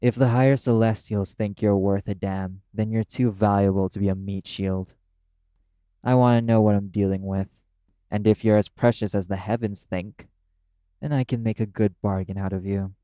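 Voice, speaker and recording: A man's voice: lowish voice, steady tone, normal speed, dark tone, dry recording, natural voice. A man playing a brash, cynical male demon who speaks bluntly and scornfully in an audio roleplay. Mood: cynical, dismissive. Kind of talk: dramatic audio roleplay addressed to the listener.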